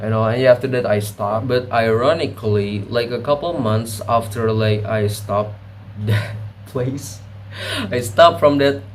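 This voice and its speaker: A man's voice: medium pitch, measured pace. Young guy vlogging, rambly, keeps correcting himself, cusses a lot, faint hum behind. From Indonesia. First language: Indonesian. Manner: rambling, self-correcting, swears freely. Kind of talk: casual rambling monologue.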